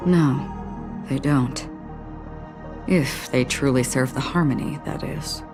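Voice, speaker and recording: A woman's voice: very deep voice, slow pace. A woman voicing an enigmatic, solemn game heroine who talks in a grave, understated way. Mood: solemn, resolute. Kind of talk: video game voice acting. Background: game soundtrack music.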